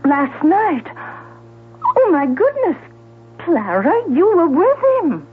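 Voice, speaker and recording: Earnest questioning sister; slow, expressive radio-play delivery through a thin, hissy vintage broadcast. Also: female voice, high-pitched, exaggerated voice. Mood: shocked. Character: Mary, earnest, gentle, truth-loving housebound sister. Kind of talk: 1970s radio drama acting. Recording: tinny, noisy old radio broadcast.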